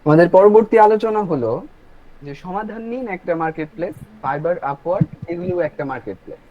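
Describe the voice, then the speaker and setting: High-voiced man presenting, dull audio. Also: animated, a little fast, mellow, a little hiss or hum. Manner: instructive, addresses listeners directly. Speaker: webinar host and presenter. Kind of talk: explanatory online presentation. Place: online video meeting. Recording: dull lo-fi online call audio.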